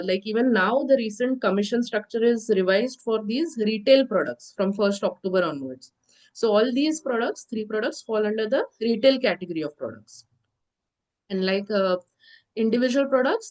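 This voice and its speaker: A woman's voice: on the high side, low-quality recording. Nasal woman lecturing on insurance. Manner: explanatory, steady, many filler pauses. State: nasal from a cough and cold. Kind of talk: online lecture presentation. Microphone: video call.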